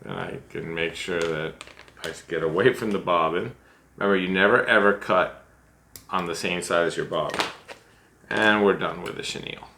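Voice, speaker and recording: A man's voice: deep voice, moderate pace, vocal fry, bright, forceful tone, slight background noise. A middle-aged man in a small room, talking through a fly-tying tutorial step by step in a chatty, self-deprecating way and thinking aloud as he works. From United States. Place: small room at home, seated at a desk.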